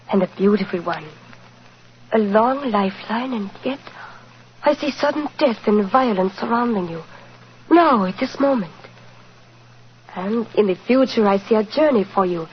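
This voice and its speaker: An actress playing a solemn, mystical Romani fortune-teller in a 1940s radio drama, speaking in formal, portentous phrases. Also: female voice, mid-range voice, lightly acted. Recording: dull, hissy old radio recording.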